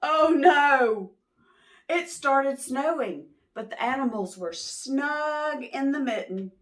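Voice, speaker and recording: A woman reading a picture book aloud to young children, expressively, pausing to ask them questions. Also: high voice. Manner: expressive, drawn-out words, teacherly prompting. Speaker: children's read-aloud storyteller.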